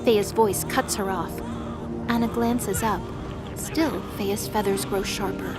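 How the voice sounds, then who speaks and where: A woman's voice: medium pitch, fairly even intonation, slow pace. A woman narrator reading a scripted mythological drama as story narration. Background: music.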